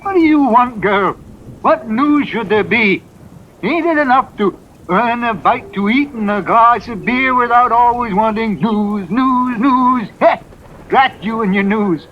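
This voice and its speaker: An actor playing a cranky, impatient old English farmer in a radio drama, grumbling and scolding in rustic speech. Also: male voice, very high-pitched, unhurried. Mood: irritated, scolding. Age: older. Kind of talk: 1950s radio acting. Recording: muffled vintage radio with faint noise.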